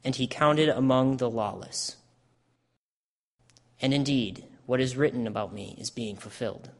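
A man's voice: mid-range voice, fairly even intonation, a little slow, natural voice. A man playing a solemn, grave Jesus in a dramatic reading of scripture. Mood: solemn, instructing. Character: solemn Jesus, grave teacher facing arrest. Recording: echoey church hall, long reverb.

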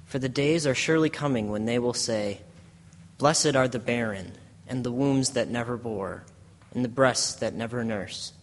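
A man playing a solemn, compassionate condemned holy man in a dramatic scripture reading, declaiming in a measured way, with long hall reverb. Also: mid-range voice, natural voice. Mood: solemn warning.

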